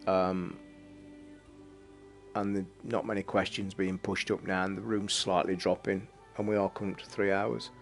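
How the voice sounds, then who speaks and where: A man hosting a casual late-night livestream from his flat, talking in a rambling, blunt way and swearing freely. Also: deep voice, normal speed, gravelly, soft background music. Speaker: livestream host. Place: home flat.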